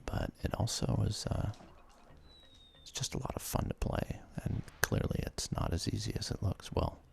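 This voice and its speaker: A man giving ASMR let's play commentary in a breathy, gravelly whisper, close to the microphone, with relaxed, self-deprecating asides. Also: lowish voice, moderate pace, slight background noise. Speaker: host of a gaming ASMR series.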